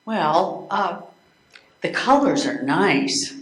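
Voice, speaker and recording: Older woman as a slow, kindly peacemaker. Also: lowish voice, lively intonation. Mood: friendly. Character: kindly, peacemaking married woman with a homely nickname. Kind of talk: amateur readers theater acting. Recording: echoey room recording.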